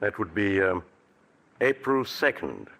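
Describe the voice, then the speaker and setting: A man's voice: on the low side, very animated, gravelly, a bit bright, heavily acted. An actor playing a shrewd male doctor exposing a murderer in a 1940s radio drama. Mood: probing. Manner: measured, probing, accusing. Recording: old radio broadcast recording.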